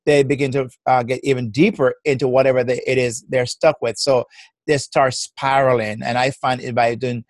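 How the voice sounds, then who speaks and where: A man's voice: medium pitch, level tone, moderate pace, dry recording. A man with a Jamaican accent, a personal development coach, speaking as an interview guest in a reflective, encouraging way. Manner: warm, reflective and encouraging, laughs easily.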